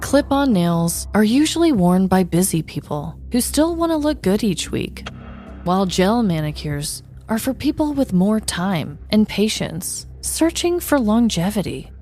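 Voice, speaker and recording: A woman's voice: medium pitch, sing-song, unhurried, dark tone, faint music behind. A woman co-hosting a comedy podcast, moving between casual chat and scripted storytelling. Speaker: podcast co-host.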